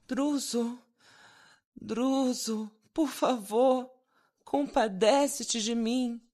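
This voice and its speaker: A woman voicing a tormented, exhausted female spirit who pleads slowly in a broken voice, in a radio drama. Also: fairly high pitch, warm tone. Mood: pleading. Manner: slow, broken, pleading delivery.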